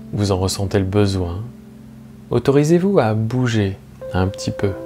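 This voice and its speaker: A man guides a relaxation session as a meditation teacher, speaking slowly and calmly, with soothing, measured phrasing and long pauses. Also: on the low side. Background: soft plucked guitar music.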